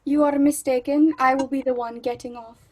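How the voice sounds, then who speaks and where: A woman's voice: sits high, steady tone, brisk, dry recording. A woman playing a cool, taunting female spy in a theatrical comedy script read.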